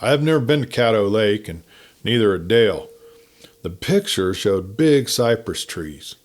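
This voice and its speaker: Male campfire-tale narrator, on the low side, folksy and conversational, reading a story. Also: animated, normal speed.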